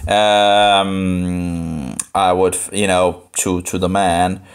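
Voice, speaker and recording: Low-voiced Danish man musing over scripture. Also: little pitch movement, smooth. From Denmark. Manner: halting, rambling, musing, repeats phrases. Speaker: live streamer studying the Bible. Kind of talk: informal, unscripted Bible commentary, thinking aloud.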